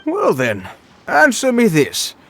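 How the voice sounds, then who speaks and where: A man's voice: very high-pitched, wildly swooping pitch, takes it slowly, slight background noise, exaggerated voice. An actor voicing an earnest, argumentative male scholar in a video game, lecturing insistently and sure of himself. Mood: challenging.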